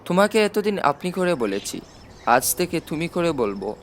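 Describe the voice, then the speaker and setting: A man's voice: on the high side, big pitch swings, moderate pace, slight background noise. A man voicing a self-satisfied elderly president with chatty, dry humour in an audiobook. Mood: wryly cheerful. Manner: chatty, drily humorous. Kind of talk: audiobook character dialogue.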